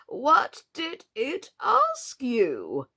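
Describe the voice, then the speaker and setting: A woman voicing a very old male frog with a deep, hoarse, slow drawl and muddled grammar. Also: wildly swooping pitch, pushed and bright, heavily acted. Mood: grumbling. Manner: ungrammatical, muttering.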